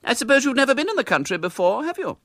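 A man voicing a prim, fussy spinster lady in a storybook reading, with a high, fluttering and hesitant manner. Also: big pitch swings, racing, fairly bright tone, dry recording, clean studio sound, exaggerated voice.

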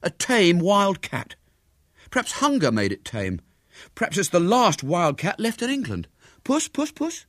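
A stubborn, boastful schoolboy from a storybook, acted out by a man, pitched well above an adult male. He bluffs and protests earnestly, with hugely animated intonation and a dry, close sound. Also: normal speed, no echo, heavily acted. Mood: amazed, proud. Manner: careless bluffing, mysterious, indignant.

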